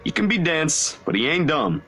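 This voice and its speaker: An actor voicing an easygoing, loyal friend with a relaxed, drawling manner. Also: male voice, on the high side, normal speed. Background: faint game music.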